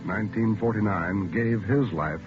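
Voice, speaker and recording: American man, low voice, solemn vintage radio announcing, loud music, muffled recording. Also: moderate pace.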